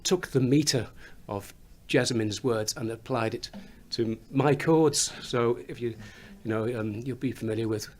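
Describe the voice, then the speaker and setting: A songwriter with a slight Liverpool accent and a fairly high voice, chatting in a studio interview and laughing often as he explains how he writes songs. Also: normal speed, a little mellow. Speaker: songwriter and musician. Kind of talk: radio interview chat. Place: recording studio.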